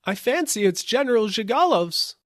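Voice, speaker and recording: A man's voice: high voice, sing-song, normal speed, fairly bright tone, no room sound, clean studio sound, voice pushed up. A man voicing an eager, tattling bystander from a crowd in an audiobook. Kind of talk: audiobook character acting.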